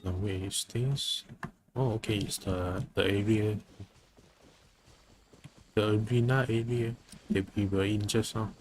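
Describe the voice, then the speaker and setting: A man giving casual live game commentary as a streamer, halting and often rephrasing himself. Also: on the low side, steady tone, a little hiss or hum. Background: game music.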